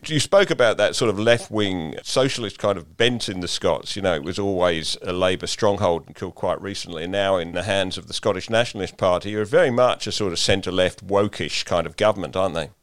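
A man interviewing a guest, asking measured, deliberate questions and stumbling over his openings. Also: deep voice, no echo. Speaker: interviewer and columnist.

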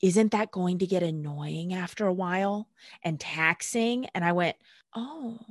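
A woman's voice: on the low side, a little slow, no echo, clean studio sound. A woman guest speaking candidly in casual conversation, blunt and emphatic, swearing freely. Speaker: podcast guest.

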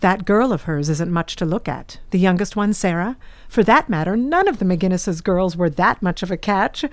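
A woman's voice: fairly low pitch, lively intonation, moderate pace, dry recording, lightly acted. A woman playing a nosy, gushing middle-aged mother who cares about status, in a period audio drama. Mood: delighted, gossipy. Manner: eager, prying, gossipy.

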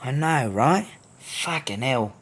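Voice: medium pitch, lively intonation, takes it slowly, a little hiss or hum. A performer playing a weary, wry British woman inmate in a comedy audio drama, speaking colloquially and a little hesitantly. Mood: uneasy, exasperated. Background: music.

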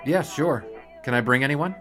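A man's voice: mid-range voice, normal speed, sharp tone, faint music behind, lightly acted. A man acting an earnest, dryly witty young sportswriter of the 1920s in an audio drama, wordy and formal. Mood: agreeable.

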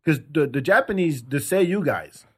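A man's voice: a little high, lively intonation, moderate pace, a bit bright, breathy edge. A man in casual podcast banter, emphatic, opinionated and teasing.

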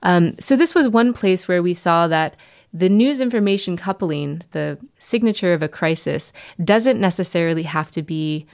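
Hesitant woman researcher, lowish voice. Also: moderate pace, darkish tone. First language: not Danish. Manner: hesitant, many ums. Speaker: researcher guest. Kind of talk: explaining research in an interview.

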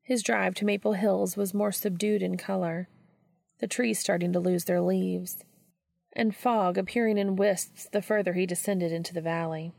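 Very creaky-voiced woman narrating fiction. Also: medium pitch, steady tone, normal speed, a little mellow, no echo, clean studio sound. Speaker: fan fiction audiobook reader. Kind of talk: scripted story narration.

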